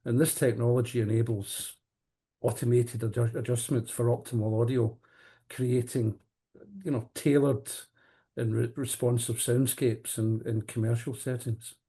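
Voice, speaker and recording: A man giving interview answers as a company executive, speaking haltingly and often repeating the starts of words. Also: mid-range voice, flat delivery, dark tone, husky. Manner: halting, hesitant, repeats word beginnings. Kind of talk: interview answers on professional audio products.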